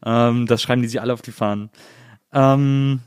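A man with a mid-pitched voice hosts a relaxed, conversational interview. He speaks reflectively, feeling his way through each sentence, in a dry recording with no room sound. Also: moderate pace, clean tone, dry recording. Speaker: podcast host. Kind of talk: casual conversational interview talk.

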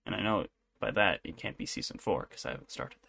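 A man's voice: fairly low pitch, animated, fast, creaky, pushed and bright, husky. A man co-hosting a podcast, chatting casually about entertainment news in an irreverent, joking, sometimes profane way. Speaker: podcast co-host.

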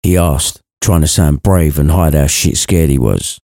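A man's voice: very deep voice, a little slow, mellow, dry recording, clean studio sound. A man narrating a scripted comic story in a wry, irreverent manner.

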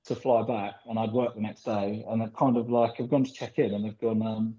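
A man with a low, mellow voice tells long stories, full of "you know" and "um". His voice carries a roomy echo and comes through a dull, lo-fi recording. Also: fairly quick. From Philippines. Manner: rambling, animated storytelling with frequent fillers. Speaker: pool player guest. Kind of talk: casual podcast interview.

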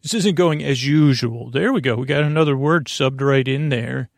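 A man hosting a bedtime podcast with a creaky voice, meandering hesitantly through a soothing monologue and often losing his thread. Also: medium pitch, moderate pace, dry recording, clean studio sound. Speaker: host of a bedtime story podcast to help listeners sleep.

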